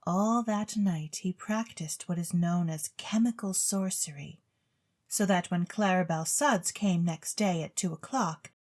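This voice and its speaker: A woman's voice: medium pitch, darkish tone. A woman storyteller reading a scripted tale in a theatrical storybook narration style.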